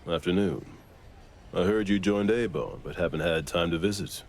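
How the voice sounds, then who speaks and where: A man's voice: sits low, normal speed. A man voicing a dry, weary, dutiful lieutenant in a video game, formal and understated. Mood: dry, composed.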